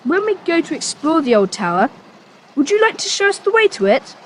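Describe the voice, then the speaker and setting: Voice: very high-pitched, sing-song, a little fast, slight background noise, poor audio. A performer playing a game but cautious young schoolboy adventurer in a children's audiobook drama, earnest and well-spoken. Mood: uneasy, friendly.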